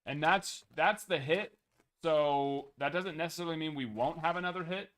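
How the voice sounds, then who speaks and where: A young man hosting a live card break, chatting casually and reading numbers off in a steady list, his voice a little worn. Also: on the high side, moderate pace. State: losing his voice.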